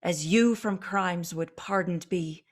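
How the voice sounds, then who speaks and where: Slow, stately Prospero played by a woman. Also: fairly low pitch. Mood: weary, pleading. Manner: measured, formal, theatrical. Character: Prospero, commanding, weary exiled duke and magician. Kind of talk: radio play, Shakespearean verse acting.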